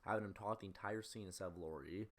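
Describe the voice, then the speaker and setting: A man's voice: deep voice, quick pace. A man giving scripted film commentary as a video essayist, in a critical, opinionated manner.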